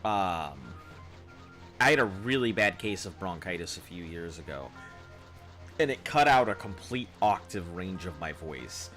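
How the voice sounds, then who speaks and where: A man with a baritone voice chatting casually with viewers on a live game stream, in a loose, rambling way. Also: expressive, a little slow, fairly bright tone, soft background music. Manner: rambling, loose, chuckling at chat.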